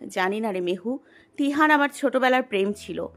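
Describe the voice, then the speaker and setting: A woman's voice: on the high side, lively intonation, normal speed, fairly bright tone, soft background music. A woman playing a melancholy, heartbroken young elder sister in an audio story. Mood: wistful sadness. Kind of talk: Bengali audio story acting.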